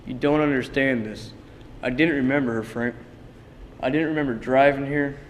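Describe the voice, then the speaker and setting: A man acting in a play reading as a frightened, confused man losing his memory, subdued and halting, in a reverberant, noisy hall. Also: medium pitch, fairly even intonation, close to an everyday voice. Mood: distressed. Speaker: drama student actor. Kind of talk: dramatic reading of a stage play. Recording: reverberant hall with background noise.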